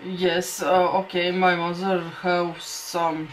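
A middle-aged woman with a Latvian accent talks slowly to her webcam in a small room at home. She thinks aloud and often restarts her sentences. A faint hum of the room sits behind her voice. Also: lowish voice, level tone. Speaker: vlogger. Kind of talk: casual talk to camera.

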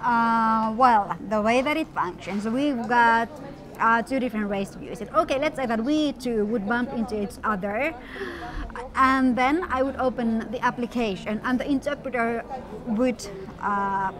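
A middle-aged woman from Finland, interpreting a guest's words in first person with steady, slightly formal explanation. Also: a little high, a little slow. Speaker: sign language interpreter voicing the guest. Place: outdoor courtyard at a busy event. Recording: outdoor event with crowd noise. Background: crowd chatter.

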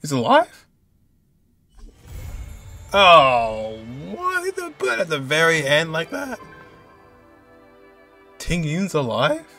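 A man's voice: on the high side, wildly swooping pitch, slow pace, pauses often, pushed and bright, faint music behind. A young American man giving casual reaction commentary on a game. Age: young adult. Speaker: streamer reacting to game cutscenes.

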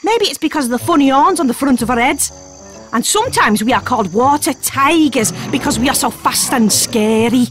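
A woman's voice: high-pitched, fairly quick, soft background music. A woman voicing an eager, boastful young water beetle who chats to the viewer in a children's cartoon. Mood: eager, proud. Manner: excitable, chatty, addressing the viewer. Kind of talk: children's cartoon character narration.

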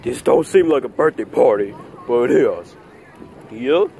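A man improvises dialogue for plush toys in a medium-pitched voice. His delivery is slow, halting and repetitive, rambling along as he goes. It is a noisy phone recording made outdoors. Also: lively intonation, creaky, mellow. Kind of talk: improvised plush toy skit. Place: outdoors on grass at night. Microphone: handheld phone camera close by.

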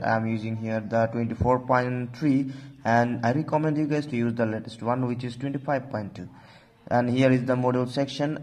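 A man's voice: mid-range voice, darkish tone. A man hosting an informal phone tutorial, talking through steps with repeated stock phrases. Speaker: tech tutorial host.

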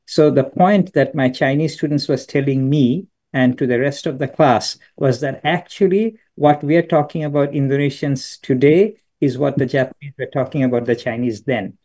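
Mellow-voiced Bangladeshi-born professor on a call. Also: male voice, mid-range voice. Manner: thoughtful, explains with anecdotes, frequent restarts. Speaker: business school professor. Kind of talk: answering questions in an online lecture. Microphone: video call.

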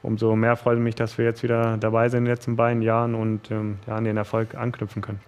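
A young man from northern Germany, speaking in a smooth, clear voice as a studio interview guest. He talks haltingly, pausing often on fillers, into a headset microphone in a TV studio. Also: on the low side, monotone. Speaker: goalkeeper guest.

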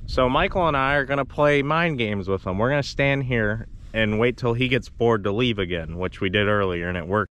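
A young man hosting a fishing vlog, chatting casually and playfully with excited exclamations while wading outdoors. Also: medium pitch, normal speed, few pauses, a little hiss or hum. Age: young adult. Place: outdoors wading in open shallow water. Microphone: action camera, handheld or body-worn.

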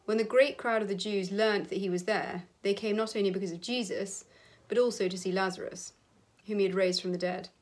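Reader: woman, middle-aged, medium pitch, solemn and carefully phrased delivery of a scripted Bible passage. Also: steady tone, brisk. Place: indoor room with wood panelling.

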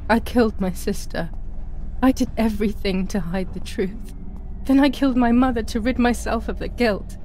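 An actress voicing a troubled, guilt-ridden young woman in a video game, speaking solemnly and deliberately. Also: fairly high pitch, darkish tone, slight background noise, lightly acted. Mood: anguished, bitter. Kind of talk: dramatic video game voice acting.

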